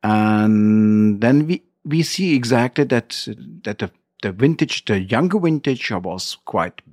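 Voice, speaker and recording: A middle-aged man with a German accent, telling his story as an interview guest in halting, non-native English phrasing. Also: on the low side, animated, darkish tone, no room sound, studio quality.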